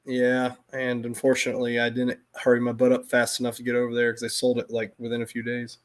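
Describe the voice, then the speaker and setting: A man's voice: medium pitch, completely flat delivery, fast. Rambling middle-aged American man chatting. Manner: rambling, self-interrupting storytelling. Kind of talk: casual livestream chat.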